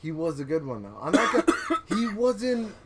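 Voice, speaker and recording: A man's voice: high-pitched, huge pitch swings, moderate pace, very noisy. A young man co-hosting a podcast, talking in a rambling, earnest way with frequent restarts and laughs. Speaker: podcast co-host. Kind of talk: casual chat.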